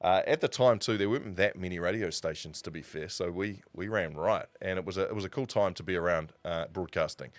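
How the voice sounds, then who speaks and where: A man's voice: sits low, fairly quick, few pauses, dry recording. A man in his forties with a New Zealand accent, telling stories in a casual, rambling, conversational way on a live stream. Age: 40s. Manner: rambling, conversational, self-correcting. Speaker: streamer and broadcaster.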